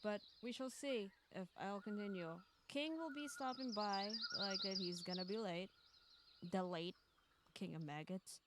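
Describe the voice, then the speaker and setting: A woman's voice: mid-range voice, unhurried, very noisy. A woman hosting a stream in casual chat, rambling and hesitating as she goes. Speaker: streamer hosting a group visual novel stream.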